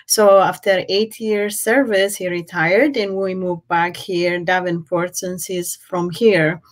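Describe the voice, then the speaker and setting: A woman's voice: fairly low pitch, fairly even intonation, slow. A middle-aged woman from Ethiopia with an Ethiopian accent, telling her story conversationally as an interview guest on a video call. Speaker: interview guest, restaurant owner. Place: indoor room at home, on a video call. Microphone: computer mic on a video call.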